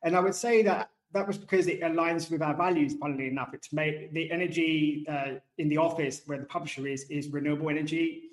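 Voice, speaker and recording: A man in his thirties with a softened working-class British accent, chatting casually as a guest about his work, self-deprecating and given to digressions. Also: on the high side, steady tone, quick pace, hardly any pauses. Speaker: guest author. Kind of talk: casual interview talk. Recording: echoey room.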